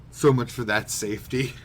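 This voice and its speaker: A man chatting casually as a podcast co-host, with a wry, sarcastic, profane way of talking. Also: medium pitch. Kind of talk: casual banter about manga. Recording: noticeable background noise.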